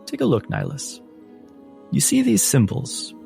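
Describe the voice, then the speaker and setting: A man voicing a kindly old priest and mentor in a tabletop game, speaking in a gentle, measured, teacherly way. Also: mid-range voice, wildly swooping pitch, gravelly, soft background music, voice pushed up, full character voice. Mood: patient, instructive.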